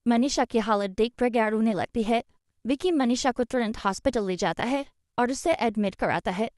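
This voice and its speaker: A woman's voice: fairly high pitch, moderate pace, darkish tone, dry recording, studio quality. A woman narrating a scripted cartoon family story. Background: background music.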